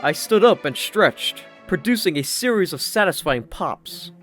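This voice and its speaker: A man's voice: sits high, animated, unhurried, fairly bright tone. A man reading a scripted first-person story as an audiobook narrator. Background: background music.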